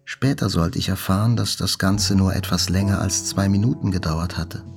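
A gravelly, brooding male survivor. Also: sits low, normal speed, soft background music. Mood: brooding, reflective. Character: shaken, reflective male survivor looking back, searching. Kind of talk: dramatic literary narration, radio play.

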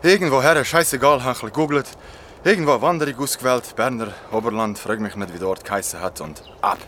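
An actor playing an injured, defensive young man in colloquial radio drama dialogue, with a creaky voice. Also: medium pitch, fast, sharp tone, natural voice. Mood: dismissive. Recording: noisy ambient scene.

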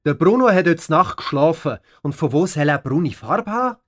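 A man's voice: a little high, lively intonation, moderate pace, natural voice. A man playing a protective, indignant but caring father in a children's audio story.

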